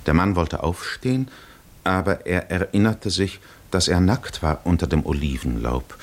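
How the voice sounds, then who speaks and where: Very deep-voiced, solemn storyteller. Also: male voice, animated. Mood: solemn, measured. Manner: measured, deliberate. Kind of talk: literary scripted narration in 1950s radio drama.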